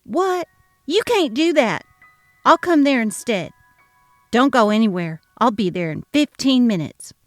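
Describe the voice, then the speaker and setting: Brief: woman doing a loud, possessive grandmother, higher and harsher than the narrator, storybook reading over soft music. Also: expressive, measured pace, dry recording. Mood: furious. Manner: shrill, shouting, threatening. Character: jealous delusional older grandmother.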